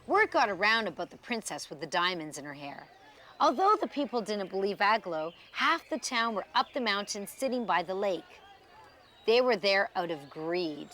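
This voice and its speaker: A woman storyteller reading a children's tale expressively, with dramatic pauses and emphasis. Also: mid-range voice, big pitch swings, fairly bright tone.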